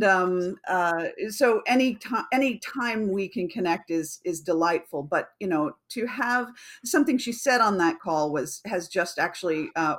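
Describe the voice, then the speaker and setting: A middle-aged woman guest speaking warmly in a rambling way, with many restarts and fillers. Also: fairly low pitch. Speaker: guest, co-founder. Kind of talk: casual interview chat.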